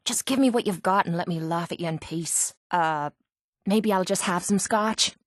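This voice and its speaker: A woman's voice: on the low side, moderate pace, dry recording, clean studio sound, natural voice. An actress playing a brash, sarcastic, hard-drinking young woman brawler in a video game, with an Irish accent and a blunt, mocking way of talking. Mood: dry, thirsty. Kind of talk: video game companion voice lines.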